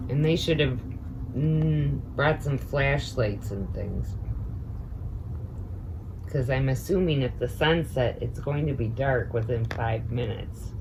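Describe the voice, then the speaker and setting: A woman's voice: a bass voice, slow. A woman reading a children's picture book aloud, expressively, adding informal comments of her own as she goes. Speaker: storyteller, teaching assistant. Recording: noticeable background noise.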